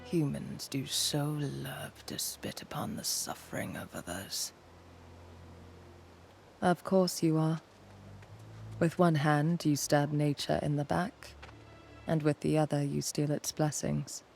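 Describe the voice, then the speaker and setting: A man voicing a bitter, proud nature spirit in a video game, with a gravelly voice and an imperious, contemptuous delivery. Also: on the high side, takes it slowly, pauses often, a little mellow, soft background music. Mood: cold contempt. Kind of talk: video game cutscene acting.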